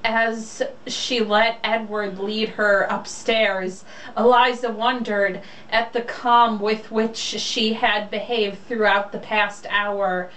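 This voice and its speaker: A woman's voice: medium pitch, flat delivery, takes it slowly, a bit bright. A woman chatting casually and reading aloud in a blunt, sarcastic, mocking way, swearing freely. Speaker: co-host reading a romance novel aloud. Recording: distant mic, faint background noise.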